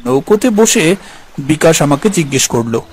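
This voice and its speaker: A man's voice: on the high side, very animated, moderate pace, slightly rough. A man narrating a scripted dramatic story in the first person. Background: background music.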